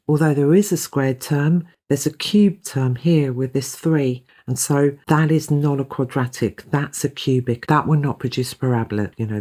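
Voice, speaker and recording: A woman's voice: a bass voice, a little mellow. A woman from the UK with a British accent, patiently explaining maths step by step as a teacher. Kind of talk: step-by-step tutorial narration.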